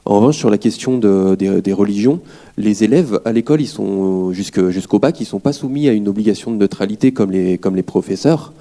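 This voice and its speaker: Audience man from France; deep, dark voice with fry, earnest and halting. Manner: polite, earnest, halting with fillers. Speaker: audience member at a public debate. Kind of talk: public question from the floor.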